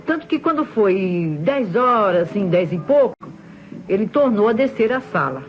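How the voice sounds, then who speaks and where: A woman's voice: medium pitch, big pitch swings, moderate pace, natural voice. A Brazilian woman playing a chatty, obliging, self-assured witness in an old radio drama, emphatic and deferential. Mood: earnest, insistent. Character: obliging, chatty female boarding-house witness, certain of herself. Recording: muffled vintage radio recording, music behind.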